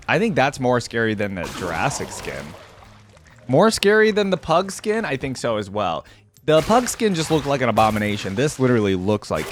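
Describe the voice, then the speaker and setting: A young man hosting a casual reaction video, thinking aloud as he watches, with frequent exclamations and laughs. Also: fairly high pitch.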